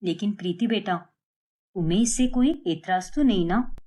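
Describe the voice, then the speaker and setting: A woman's voice: mid-range voice, normal speed, warm tone, pitched below the performer's own voice, lightly acted. A woman voicing an agreeable elderly grandmother in a cartoon family story, conciliatory in manner.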